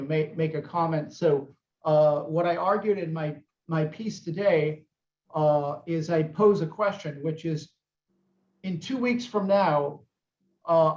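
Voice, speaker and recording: A man's voice: fairly high pitch, reverberant, lo-fi sound. A man on an academic panel making an earnest argument, with frequent restarts. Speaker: historian on panel.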